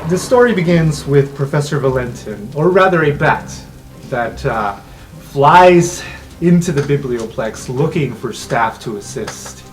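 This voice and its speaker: A male game master narrates an improvised fantasy scene. His voice sits in an ordinary middle range, with dramatic, deliberate pauses. Music plays clearly behind him. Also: mid-range voice, animated. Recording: background music under the voice.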